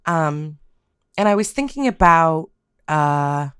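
A woman's voice: sits low, animated, takes it slowly, fairly bright tone, smooth, no room sound, clean studio sound. A woman hosting a podcast, talking through a topic. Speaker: podcast host.

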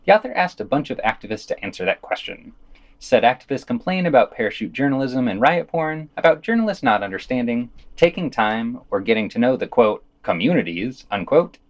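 A man's voice: medium pitch, big pitch swings, a little fast. A man hosting a podcast, reading scripted news commentary and quotations with dry, opinionated asides.

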